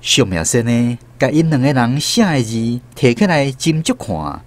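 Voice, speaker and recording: A man with a Taiwanese Hokkien accent narrating a scripted radio story in an expressive storyteller's style. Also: medium pitch, moderate pace.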